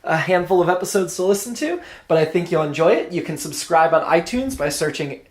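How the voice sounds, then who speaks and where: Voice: mid-range voice, expressive, brisk. A podcast host giving an introduction and a plug for programming in a scripted announcing style.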